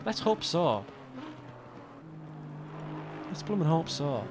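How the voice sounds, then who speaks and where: A young man commentating a sim race alone, chatty and self-mocking, laughing often, his voice going a little hoarse. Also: a little high, big pitch swings, slow pace, noisy background. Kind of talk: solo sim racing commentary. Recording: game car sounds behind.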